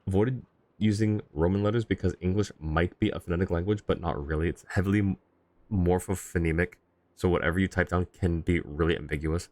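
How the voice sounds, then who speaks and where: Low-voiced man livestreaming a game. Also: fairly even intonation, brisk, a little mellow, no echo. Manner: reactive, chatty, replying to chat. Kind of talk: casual livestream commentary. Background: faint game music.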